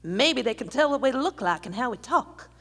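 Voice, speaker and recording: A woman playing a commanding young American outlaw gang boss, sharp and bossy, in a live Western radio play. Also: a little high, lively intonation, normal speed. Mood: menacing. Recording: live stage hall, long reverb.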